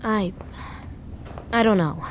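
An actress playing a weary, self-deprecating woman in her thirties who is grieving, in a naturalistic, halting audio drama style. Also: mid-range voice, slight background noise, close to an everyday voice. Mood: subdued, wistful. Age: 30s.

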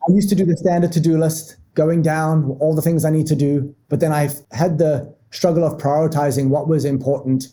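A man from Africa, talking through work habits as a podcast guest in a measured, methodical way. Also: on the high side, fairly even intonation, dark tone. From South Africa. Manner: measured and methodical, lists points step by step. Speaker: marketing leader, podcast guest. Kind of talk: structured business talk.

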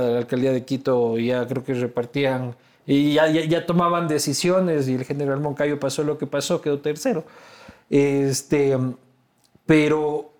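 A man's voice: mid-range voice, a little mellow. A man with an Ecuadorian accent hosting a casual, slangy interview, probing and teasing, often circling back over his words as he forms a question. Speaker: interviewer, host.

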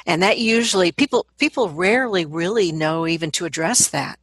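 A middle-aged woman, a vocal coach, explaining things conversationally as an interview guest, in an animated manner. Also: fairly low pitch, fairly quick, husky, no room sound, clean studio sound.

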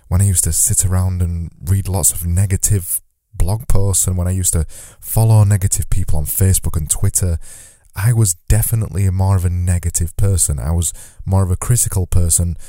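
Deep, mellow-voiced man hosting a podcast. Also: no room sound, studio quality. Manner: earnest, reflective, says he lifts his normally monotone voice. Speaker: podcast host. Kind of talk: solo podcast monologue.

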